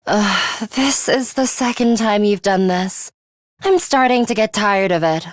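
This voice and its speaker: A woman playing a serious, composed young female fighter in a video game, dryly scolding and sharp-tongued but caring. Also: mid-range voice, no echo, clean studio sound, lightly acted. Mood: exasperated. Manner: measured, stern, dryly scolding.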